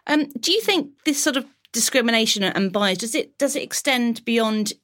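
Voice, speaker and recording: A woman hosting a podcast, asking gentle, hesitant questions full of fillers. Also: a little high, animated, moderate pace. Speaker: podcast host. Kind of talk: interviewing guests.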